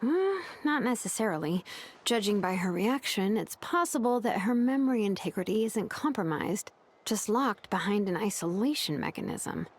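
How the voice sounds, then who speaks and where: A woman's voice: medium pitch, expressive, moderate pace, slightly rough. A woman playing a curious, analytical young tinkerer in a video game, explaining technical things in a friendly way. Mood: analytical, explanatory. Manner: technical, explanatory, hesitant when simplifying.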